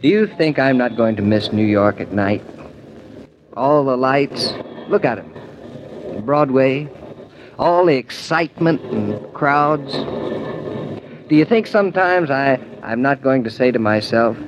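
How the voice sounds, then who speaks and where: An actor playing a gentle, reassuring young husband with a tender, slightly hesitant manner in a 1940s radio drama. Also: male voice, medium pitch, animated, unhurried, natural voice. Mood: wistful, reassuring. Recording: muffled 1940s radio recording, faint noise.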